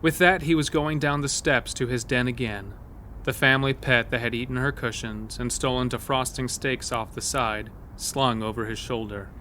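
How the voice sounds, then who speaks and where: A man's voice: medium pitch, fairly even intonation, normal speed, slight background noise. A man narrating a scripted horror story as the storyteller of an audio drama. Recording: music bed under the voice. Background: loud music.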